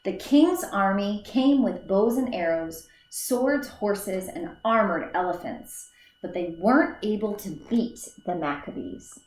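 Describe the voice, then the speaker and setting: A woman teacher reads a children's story aloud, unhurried and deliberate, breaking the text into small phrases. Now and then she trips and restarts a word. Her voice carries a noticeable echo from the room around her. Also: medium pitch, animated, darkish tone. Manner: slow, careful read-aloud with small slips and restarts. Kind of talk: reading a children's book aloud. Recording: echoey room.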